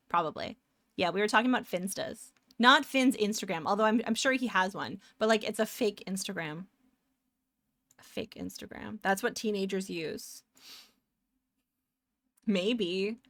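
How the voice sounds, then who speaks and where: A woman's voice: mid-range voice, a bit bright, no echo, clean studio sound. Chatty young Canadian woman streamer. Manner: chatty, rambling, upbeat. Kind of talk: casual chat with viewers.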